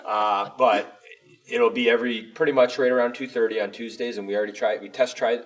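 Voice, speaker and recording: A man's voice: mid-range voice, steady tone, brisk, distant mic, low-quality recording. A man chatting casually as a school superintendent and co-host, in a rambling, folksy way that often doubles back. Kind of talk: casual chat.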